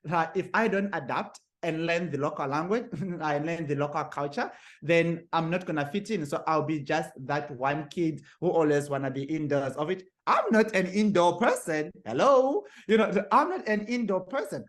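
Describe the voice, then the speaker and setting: A young man from Zimbabwe, a guest on a podcast, who talks haltingly with many fillers, repeated words and frequent laughs. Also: fairly high pitch, lively intonation. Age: young adult. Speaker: guest author. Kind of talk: podcast interview.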